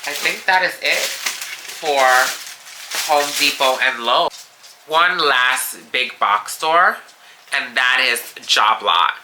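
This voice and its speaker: A gardening vlogger talks through a haul at an unhurried pace, sounding stuffy and congested from allergies. The tone stays eager and gushing, and a steady hiss of background noise sits under the voice. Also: medium pitch, poor audio. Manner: enthusiastic, gushing about flowers. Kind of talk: casual show-and-tell haul.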